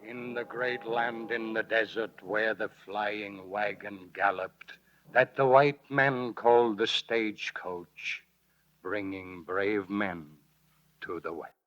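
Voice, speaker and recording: Solemn storyteller, low voice, very slow, music behind, muffled vintage radio. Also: male voice, steady tone. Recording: dull 1949 radio broadcast with music.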